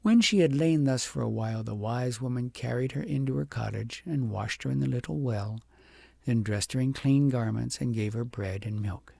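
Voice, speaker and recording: A man reading a fairytale audiobook in a dramatic, deliberate storytelling manner. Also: medium pitch, gravelly, a little mellow, no room sound, studio quality. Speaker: audiobook narrator. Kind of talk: expressive scripted fairytale narration.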